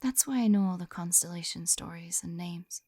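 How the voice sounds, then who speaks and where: A young woman playing a gentle, shy lamia on a first date in an intimate ASMR roleplay, soft-spoken and often whispering, hesitant and tender, close to the microphone. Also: moderate pace, no room sound, natural voice. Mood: wistful, soft. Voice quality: soft, breathy whispering mixed with low murmurs. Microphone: close-up microphone, dry sound.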